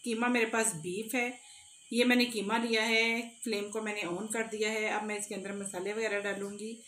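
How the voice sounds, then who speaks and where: A woman's voice: mid-range voice, level tone, normal speed, fairly bright tone. A middle-aged woman, a cooking channel host talking viewers through a recipe step by step in a friendly, chatty way in her home kitchen. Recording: echoey kitchen with faint background noise.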